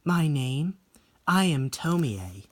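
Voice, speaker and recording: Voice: mid-range voice, sing-song, takes it slowly. A performer voicing a snobby, beautiful young woman in a horror story, haughty and theatrical, drawing out words. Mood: smug, coy.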